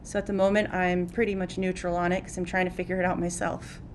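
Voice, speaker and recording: Flat-toned woman, measured forum answers. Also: lowish voice, slight background noise. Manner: measured and even-toned, with fillers. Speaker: city council candidate.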